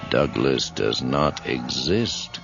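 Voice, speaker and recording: An actor playing a stern, self-assured doctor in a radio horror drama, speaking slowly and commandingly in a gravelly voice. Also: male voice, a bass voice, expressive, exaggerated voice. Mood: calm, commanding. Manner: slow, commanding, deliberate. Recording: dull lo-fi radio drama, music underneath.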